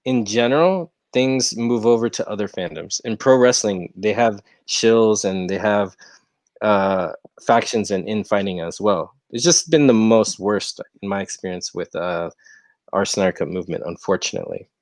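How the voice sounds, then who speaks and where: A man's voice: lowish voice, normal speed, darkish tone, dry recording. A young American man hosting a casual livestream, rambling along in an easygoing, slangy way. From United States. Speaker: livestream host. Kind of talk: casual chat. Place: rented room at home.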